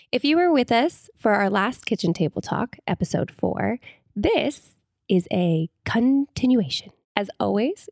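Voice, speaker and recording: A woman's voice: mid-range voice, creaky, a little mellow, dry recording, clean studio sound. A woman co-hosting a podcast, talking reflectively and giggling, with lots of filler "like"s. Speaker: podcast co-host. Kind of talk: casual chat.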